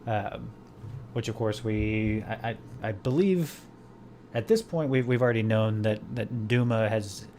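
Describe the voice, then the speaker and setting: A man's voice: lowish voice, a little slow, mellow, slight background noise. A man hosting a podcast in casual chat, rambling with many fillers and restarts. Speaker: podcast host.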